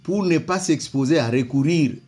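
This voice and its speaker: A man's voice: a little high, normal speed, mellow, soft background music. A man, not a native French speaker, giving commentary and reading from an old document, hesitant with many repeated words. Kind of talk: slow spoken commentary reading from a book.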